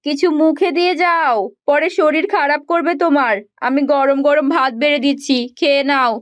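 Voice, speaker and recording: A woman's voice: high-pitched, steady tone, moderate pace, lightly acted. A woman playing a caring, worried wife from a poor village household in a cartoon folk tale, phrasing things carefully.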